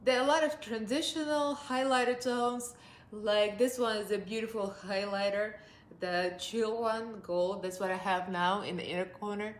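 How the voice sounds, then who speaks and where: A woman's voice: mid-range voice, steady tone, moderate pace. Chatty Russian-accented woman in an echoey room. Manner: chatty and upbeat, laughs often. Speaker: beauty vlogger. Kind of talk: casual makeup review. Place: living room, seated on a sofa.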